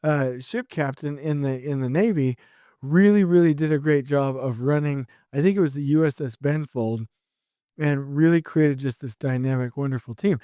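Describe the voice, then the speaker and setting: A man's voice: a little high, normal speed, no echo, clean studio sound. A middle-aged man hosting a solo podcast, talking energetically with frequent restarts, his throat a little sore and scratchy. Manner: energetic, rambling, many restarts. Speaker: podcast host.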